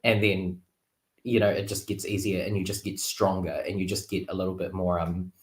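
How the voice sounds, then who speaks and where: A man chatting casually and reflectively as a guest tarot reader, halting often and leaning on "like" and "you know". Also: low-pitched, flat delivery. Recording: roomy, echoing livestream call.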